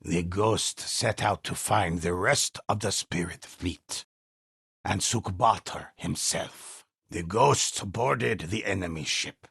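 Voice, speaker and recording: An old teller of dark legends for a video game. His voice is low and very husky, with a slow, deliberate delivery. He speaks gravely and solemnly, as dramatic scripted narration. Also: no room sound, clean studio sound, exaggerated voice. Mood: solemn, suspenseful. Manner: slow, solemn, measured storytelling. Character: old male storyteller, grave and solemn, telling ghost legends.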